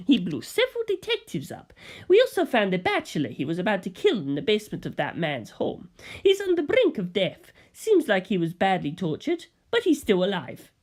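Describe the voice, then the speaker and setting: A woman voicing an excitable, hot-headed gangster man with loud, emphatic delivery in an audiobook story. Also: a little high, voice pushed up. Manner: loud, emphatic, swearing outbursts. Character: excitable, foul-mouthed gangster man reporting by phone.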